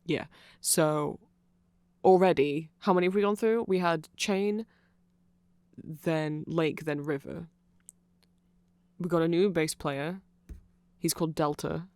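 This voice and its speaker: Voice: mid-range voice, steady tone, takes it slowly, pauses often, a little mellow, no room sound. A person giving a casual, rambling ASMR explainer in a nerdy, chatty, self-correcting way, like an enthusiastic fan talking to the listener. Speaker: host explaining band lore to the listener.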